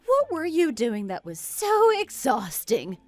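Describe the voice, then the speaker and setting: A woman plays a betrayed wife in an audio drama, furious and scornful. Her voice sits on the high side, sarcastic and accusing, recorded dry over faint music. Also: huge pitch swings, slow, no echo, full character voice. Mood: sarcastic, angry. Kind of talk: dramatized audio story acting.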